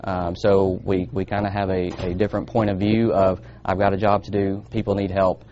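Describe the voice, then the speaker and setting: Male interviewee, flat intonation, fairly low voice, news soundbite. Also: moderate pace, lo-fi sound, a little hiss or hum. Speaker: emergency communications manager.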